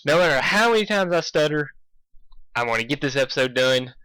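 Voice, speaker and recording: A man reading fan fiction aloud in a casual, expressive way, stumbling over lines and poking fun at himself. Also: on the high side, a little fast, background noise. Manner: self-deprecating, swearing, stumbles over lines. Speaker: amateur fan fiction reader. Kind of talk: casual intro and expressive read-aloud narration.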